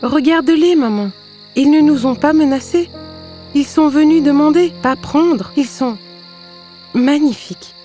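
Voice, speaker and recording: High-voiced, dreamy young maiden, played by a woman. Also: unhurried, mellow, soft background music. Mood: earnest. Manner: earnest, wondering. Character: brave, dreamy young maiden of a fairy tale. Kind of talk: fairy-tale cartoon acting.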